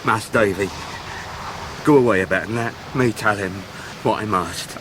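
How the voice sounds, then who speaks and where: An actor playing a heartbroken, honest young fisherman in a period radio drama, halting and deferential. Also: fairly low pitch, a bit bright, natural voice. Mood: grave, reluctant. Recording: noisy 1990s radio drama recording.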